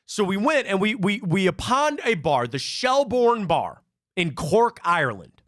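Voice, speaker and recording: A sports talk host from Cleveland with a high voice that swoops all over as he tells stories and repeats his points. The sound is dry and close, with little room around it. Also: a little slow, no echo. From Cleveland, Ohio. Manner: animated storyteller, repeats himself. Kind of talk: live sports talk show.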